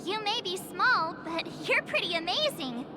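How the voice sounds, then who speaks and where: A woman playing a perky, friendly young girl in a video game cutscene, with a lively, informal delivery. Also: very high-pitched, normal speed, heavy background noise. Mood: cheerful.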